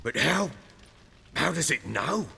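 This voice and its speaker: An actor playing a grief-stricken, guilt-ridden lord in a video game, bitter and hot-tempered, with a coarse, dramatic delivery. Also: male voice, a little high, big pitch swings, slow pace, creaky, sharp tone, husky, in a large hall, exaggerated voice. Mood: shocked. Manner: anguished, dramatic, coarse-mouthed.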